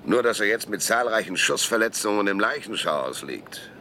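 A menacing, sceptical policeman questions a suspect in a radio drama. His lowish voice is sharp and animated, with sarcastic probing and faint noise behind it. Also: moderate pace. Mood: sarcastic, threatening.

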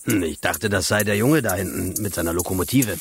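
A simple, chatty villager in a radio play. He rambles naively at a quick pace in a low, gravelly voice, with some noise around him. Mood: naive, musing. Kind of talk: German radio play acting.

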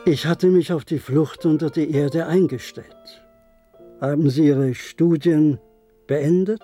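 A gentle, lonely old doctor in a radio drama. He speaks very slowly and reflectively in a fairly high male voice, pausing often, with music clearly playing behind him. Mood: quietly firm. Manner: reflective, halting, unhurried. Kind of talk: German radio play acting.

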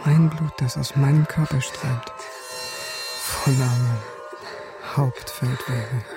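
A man's voice: medium pitch, steady tone, slow pace. A man acting in a radio drama as an embittered, defiant German army sergeant who narrates his own fate with clipped intensity. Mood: anguished, dying. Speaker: lead actor in radio drama. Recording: music behind the voice.

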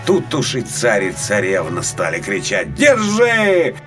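A man narrating a folk tale for small children as an expressive, dramatic storyteller. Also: low voice, a little slow. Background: faint music.

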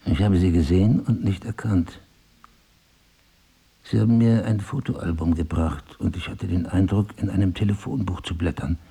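An actor playing a confused, mistrustful amnesiac husband in an intimate radio drama, brooding and questioning. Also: male voice, low-pitched, normal speed, gravelly, warm tone, slightly rough, full character voice. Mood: anxious, lost. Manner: monologue-like narrating, brooding, questioning.

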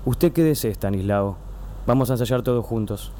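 A man's voice: medium pitch, moderate pace, warm tone, a little hiss or hum, natural voice. A man playing a brusque, impatient theater director in a comic radio drama. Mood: curt. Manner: brusque and dismissive.

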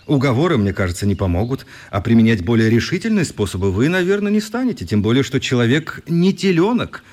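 A male newspaper correspondent from a radio play, courteous and quietly defiant. His voice is mid-pitched and husky, with very lively, ironic intonation. He phrases things articulately and wryly. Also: fast talker. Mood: wry, polite. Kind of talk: radio drama acting.

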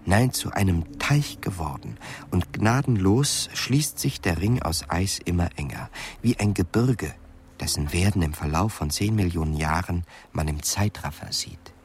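Deep-voiced male radio drama narrator. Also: animated, a little slow, a little hiss or hum.

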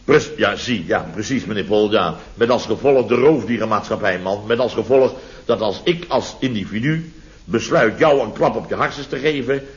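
An actor playing a blustering, sarcastic contractor boss in a radio comedy, theatrical and full of rhetorical tags. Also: male voice, on the low side, moderate pace, lightly acted. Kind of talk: late-1960s Dutch radio comedy acting. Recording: muffled vintage radio recording, audience behind.

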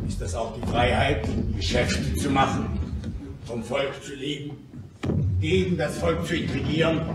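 An actor playing a fervent Paris Commune revolutionary, speaking in an emphatic, declamatory way in a radio drama. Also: male voice, fairly high pitch. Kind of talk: radio drama acting, political oratory. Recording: echoey, distant, noisy recording.

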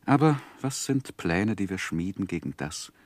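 A deep-voiced, sorrowful gentleman looks back on his life. His delivery is measured and solemn, like literary radio drama, with a dry, close sound. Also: very animated, no room sound, exaggerated voice. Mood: solemn grief. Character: grieving, reflective gentleman narrating his life story. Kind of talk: literary radio play narration.

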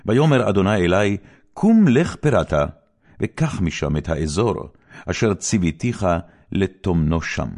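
Deep-voiced man reading scripture solemnly. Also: darkish tone. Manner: solemn, measured declamation with clear phrasing. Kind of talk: formal scripted Bible reading.

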